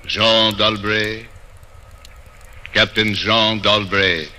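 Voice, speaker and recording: Grave, commanding inquisitor, sharp vintage sound. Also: male voice, fairly low pitch, steady tone, heavily acted. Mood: solemn. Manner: measured, grave, authoritative. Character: solemn Spanish friar, Grand Inquisitor, stern and commanding. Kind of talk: 1940s radio acting. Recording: noisy vintage radio recording.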